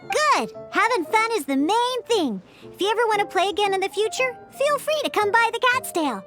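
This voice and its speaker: A woman's voice: extremely high pitch, very animated, normal speed, fairly bright tone, soft background music, heavily acted. A woman voicing a feisty, proud little cat-girl bartender in a video game, blunt but grudgingly encouraging. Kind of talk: video game character dialogue.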